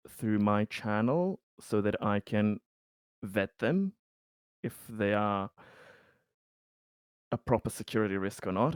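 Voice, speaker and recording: A man's voice: fairly low pitch, darkish tone, clear, resonant tone, no room sound, clean studio sound. A man in a tabletop roleplaying game, speaking in character with formal wording broken up by frequent hesitations. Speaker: player. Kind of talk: in-character roleplay as a colony security officer.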